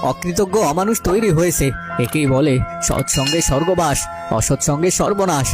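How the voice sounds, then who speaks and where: Man playing a rueful old father, fairly high-pitched. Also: normal speed, lightly acted. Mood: reflective. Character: old father, weary, wise, fond of his youngest son. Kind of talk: cartoon folk-tale voice acting. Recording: music behind the voice.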